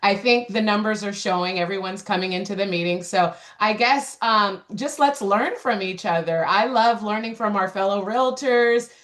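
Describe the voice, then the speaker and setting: A woman's voice: mid-range voice, normal speed, few pauses, a bit bright. A woman real estate agent chatting cheerfully on a video call, laughing often. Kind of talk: casual chat on a video call.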